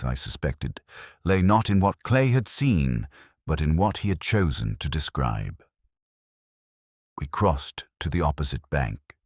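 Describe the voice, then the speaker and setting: Very deep, brooding detective played by a man. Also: no echo, studio quality, heavily acted. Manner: measured, reflective, solemn. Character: brooding older male English detective inspector, melancholy and wry. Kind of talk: literary first-person scripted narration, audio drama.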